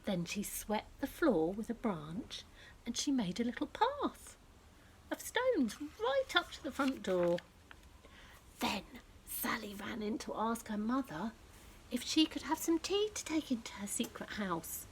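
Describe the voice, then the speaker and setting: A woman reading a picture book aloud to young children, speaking directly to them in an expressive storytelling style that often drops to a hush. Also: a little high, unhurried, a little hiss or hum, low-quality recording. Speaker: children's story reader and presenter.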